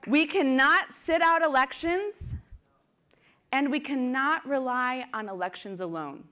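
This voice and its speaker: Upbeat woman host, high bright voice, hyping the room. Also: a little slow. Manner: upbeat, hypes the crowd, laughs easily. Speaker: convention organizer and host. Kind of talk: live event hosting and announcements. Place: large ballroom.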